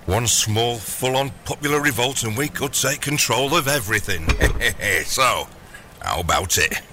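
A man's voice: medium pitch, bright, forceful tone, a little hiss or hum, heavily acted. A man playing a gleeful, grandiose megalomaniac father, wheedling theatrically in an audio comedy drama. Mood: scheming. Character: gleeful megalomaniac villain, doting scheming father.

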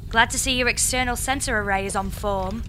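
An actress playing a stranded space engineer in an audio comedy, sarcastic, exasperated and insistent. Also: female voice, on the high side, a little fast, fairly bright tone, slight background noise, lightly acted. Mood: sarcastic, businesslike. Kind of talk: audio comedy drama acting.

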